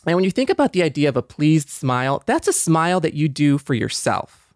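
A man's voice: fairly high pitch, very animated, brisk, no room sound, clean studio sound. A man co-hosting a podcast in casual chat, rambling as he thinks aloud and restarting his sentences. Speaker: podcast co-host.

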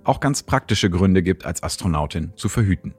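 A man's voice: low voice, lively intonation, normal speed, husky. A man reading scripted documentary narration as the host. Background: background music.

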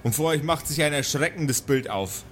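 A man's voice: on the high side, lively intonation, moderate pace, fairly bright tone. Man game-mastering over background music. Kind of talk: improvised tabletop game narration and banter.

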